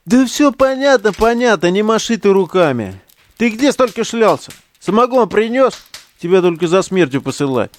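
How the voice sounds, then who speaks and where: An actor playing a hot-tempered, crude young neo-Nazi relic digger in an audio drama, brash, slangy and sneering. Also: male voice, sits high, sing-song, moderate pace. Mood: irritated.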